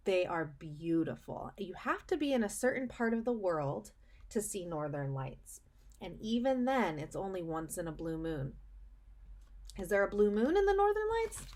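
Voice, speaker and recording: A woman teacher reading a story aloud for children, explaining as she goes and pausing to put questions to the listener, with emphasis on key phrases. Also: on the low side, a little mellow. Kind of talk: read-aloud lesson for children, asking questions.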